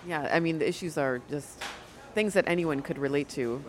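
A woman's voice: low-pitched, moderate pace, noisy background. A woman hosting a show, interviewing a writer and framing long, articulate questions. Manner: articulate, lays out questions at length.

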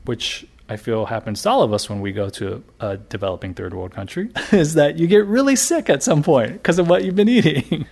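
A man's voice: mid-range voice, creaky. A man in his thirties from the United States, an author talking casually in an interview with fillers and restarts. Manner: relaxed, chatty, many fillers and restarts. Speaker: interviewee, graphic novelist.

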